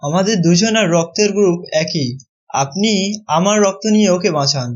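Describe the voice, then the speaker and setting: A man's voice: high-pitched, moderate pace. A man voicing a loyal, eager village boy in a cartoon. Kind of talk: cartoon dubbing. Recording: muffled, music behind.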